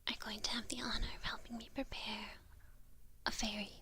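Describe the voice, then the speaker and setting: A woman playing a teasing witch who mentors an apprentice in a whispered ASMR roleplay, halting and gently patronizing. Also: fairly high pitch, level tone, slight background noise. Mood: coaxing, secretive. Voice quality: breathy whisper.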